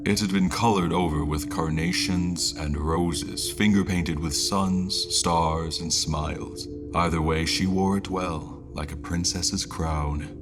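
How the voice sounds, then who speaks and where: A man giving scripted, dramatic story narration in a measured, solemn way, with music under his voice. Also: low voice, steady tone.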